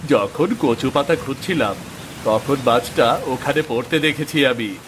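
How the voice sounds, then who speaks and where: A man's voice: mid-range voice, measured pace, a little hiss or hum, close to an everyday voice. A man voicing a lazy, grumbling farmer in a cartoon moral story, with sighing, drawling complaints. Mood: nonchalant. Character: lazy grumbling male farmer who trusts in luck.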